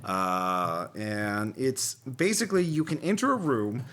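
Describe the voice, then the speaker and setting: Man, podcast host, lively swinging pitch, argumentative, joking, casual chat. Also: slow.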